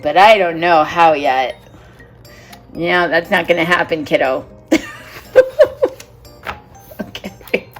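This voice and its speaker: Woman teacher doing a storybook read-aloud for kids, slow and low-ish, lots of questions to the kids. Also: soft background music. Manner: chatty, asks the children questions and laughs along. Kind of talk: children's picture-book read-aloud.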